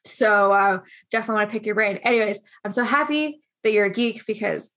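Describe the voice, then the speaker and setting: A woman in her late twenties interviewing a guest over a video call, chatty and animated, acting out what others said. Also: medium pitch, fast talker. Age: 20s.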